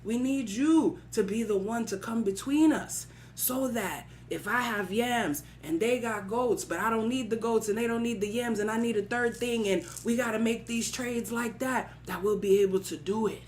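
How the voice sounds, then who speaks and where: A woman voicing humble villagers in a folktale, pleading and flattering with repeated entreaties. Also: on the high side, moderate pace, few pauses, a little hiss or hum, close to an everyday voice. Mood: sheepish pleading. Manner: beseeching, repetitive flattery.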